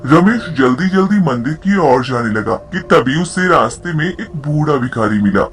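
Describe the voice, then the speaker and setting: A man's voice: a little high, lively intonation, moderate pace, a bit bright, faint music behind. A man narrating a storybook tale from a script, as the narrator of the story.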